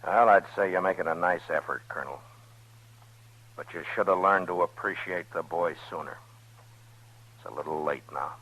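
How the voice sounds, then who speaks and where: An actor playing a grave, weary frontier marshal in an old-time radio western, speaking tersely and somberly. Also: male voice, deep voice, moderate pace, pauses often, fairly bright tone, breathy edge, low-quality recording. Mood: regretful. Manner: terse, somber, plain-spoken.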